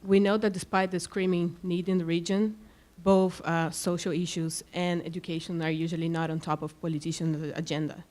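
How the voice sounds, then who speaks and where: A woman's voice: on the low side, little pitch movement, brisk, darkish tone. A woman in the audience asking a polite, composed prepared question. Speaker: audience member.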